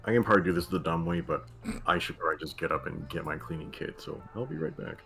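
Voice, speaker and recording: Low-voiced laid-back male streamer. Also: quick pace, gravelly, sharp tone, faint music behind. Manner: laid-back, rambling with many fillers. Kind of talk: casual livestream chat.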